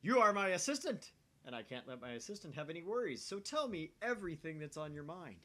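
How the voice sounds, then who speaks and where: A man's voice: fairly high pitch, very animated, fast, a bit bright, pitched above the performer's own voice. A man doing a brash, theatrical young scientist. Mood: pushy, theatrical. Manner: dramatic, pushy, teasing. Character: brash, nosy, theatrical young male self-styled scientist.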